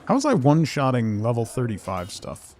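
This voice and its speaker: A man's voice: medium pitch, wildly swooping pitch, a little fast, darkish tone, a little hiss or hum. A man giving casual live game commentary, playfully muttering asides and short exclamations as he chats to viewers. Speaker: game streamer.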